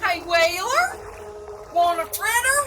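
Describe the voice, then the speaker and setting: Sugary, menacing overseer of the dead. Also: female voice, very high-pitched, big pitch swings, takes it slowly, over loud music, full character voice. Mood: mockingly sweet. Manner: sugary, coaxing, mock-friendly. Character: sweetly menacing female supernatural overseer of the dead. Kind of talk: audio drama acting.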